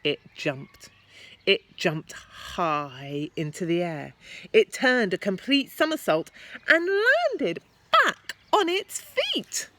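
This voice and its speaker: Animated, bright-voiced woman reading a story. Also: medium pitch, slow, slight background noise. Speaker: primary school teacher reading aloud. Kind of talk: expressive children's story narration.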